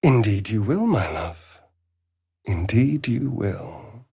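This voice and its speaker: A man's voice: lowish voice, sing-song, slow, creaky, mellow, slightly rough, no room sound, studio quality, full character voice. A male actor with an English accent voicing a sardonic, seductive, vain vampire rogue in a video game, with an arch, flamboyant drawl. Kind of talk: theatrical video game dialogue.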